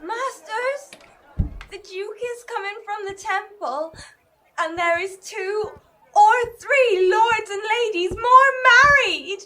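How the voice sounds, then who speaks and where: A performer playing an agitated young-sounding messenger from an amateur acting troupe, shrill and exclaiming, in a Shakespearean audio drama. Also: pushed and bright, full character voice.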